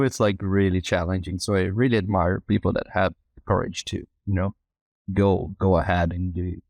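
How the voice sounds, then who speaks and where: A man from southern Brazil who speaks English as a second language. He hosts a podcast and talks in a halting, friendly way with many fillers and restarts. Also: lowish voice, no room sound. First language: Portuguese. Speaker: podcast host. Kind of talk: casual interview chat.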